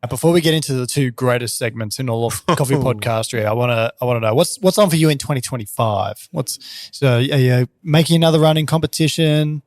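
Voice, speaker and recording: A man's voice: mid-range voice, fast talker, dry recording, studio quality. A man hosting a podcast in casual banter, with a playful, mock-grand way of hyping things. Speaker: podcast host.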